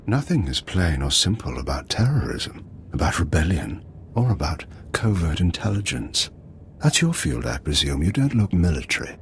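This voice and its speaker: An actor playing a bitter, grandiose revolutionary leader in a video game, speaking in deliberate, theatrical speeches. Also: male voice, deep voice, very animated, a little hiss or hum. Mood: probing.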